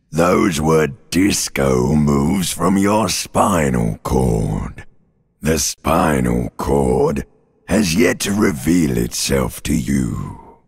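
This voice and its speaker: Primeval reptile-brain creature, video game inner voice, heavy croaking fry, very husky rasp, menacing, mock-soothing, world-weary, theatrical. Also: male voice, very deep voice, darkish tone, exaggerated voice. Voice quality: gravelly, raspy, guttural croak. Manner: slow, drawn-out, mock-soothing, sardonic crooning.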